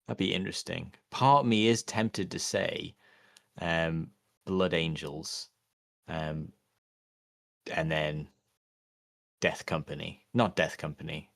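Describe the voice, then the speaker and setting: Deep-voiced man rambling in casual chat. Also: steady tone, vocal fry, a bit bright, no echo, studio quality. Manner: rambling, halting, many fillers and restarts. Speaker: podcast co-host.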